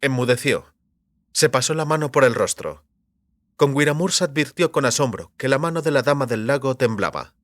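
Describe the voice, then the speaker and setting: A man's voice: mid-range voice, lively intonation, normal speed, fairly bright tone, dry recording. A man narrating a fantasy audiobook in a scripted literary style.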